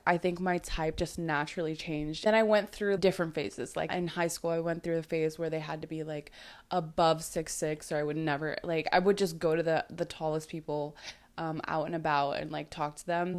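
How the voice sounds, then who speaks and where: A young woman hosting a casual dating podcast, chatty and loose with lots of likes and ums, her voice still sleepy from just waking up. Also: fairly low pitch. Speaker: podcast host and dating coach.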